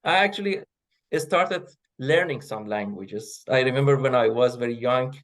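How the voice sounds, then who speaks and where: A middle-aged man whose first language is Persian speaks in English as an interview guest. He answers in measured steps, stopping often on fillers and starting words over before finishing a thought. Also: mid-range voice, a bit bright. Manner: hesitant, many fillers and restarts. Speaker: guest, associate professor of linguistics.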